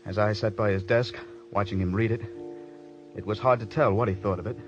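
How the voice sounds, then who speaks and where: An actor playing an earnest, polite writer in a 1940s radio drama, restrained and deferential. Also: male voice, on the low side, steady tone, fairly quick, over music, close to an everyday voice. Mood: earnest storytelling. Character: earnest, deferential male radio writer, narrating his own story. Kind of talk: 1940s radio drama acting and first-person narration. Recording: muffled old radio broadcast recording.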